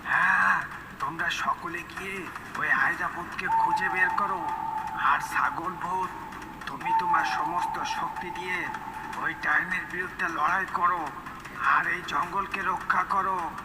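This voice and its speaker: A man voicing a wise old forest mystic in a cartoon, explaining with deliberate authority. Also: high-pitched, steady tone, noisy background. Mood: commanding. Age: older. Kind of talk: cartoon character voice acting.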